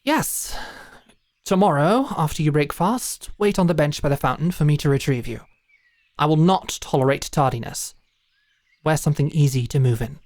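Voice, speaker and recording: A woman's voice: sits low, normal speed, darkish tone, dry recording, close to an everyday voice. A woman playing a stern, proud female knight in a fantasy audio drama, with a dry, commanding, taunting manner. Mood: stern, grudging. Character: stern, proud woman knight, brusque and teasing sparring mentor.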